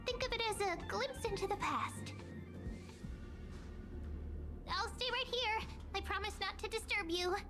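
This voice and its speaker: A woman's voice: very high voice, moderate pace, over music. A woman voicing a playful, teasing young female memory sprite in an anime game, coy and theatrical. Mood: gentle.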